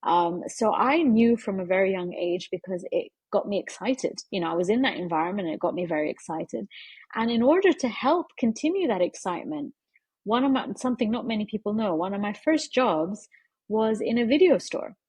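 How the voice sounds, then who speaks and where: A woman who grew up in London, answering interview questions in an earnest, measured way with frequent fillers. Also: medium pitch, expressive, a little mellow, slightly rough, no room sound. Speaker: interview guest, media executive.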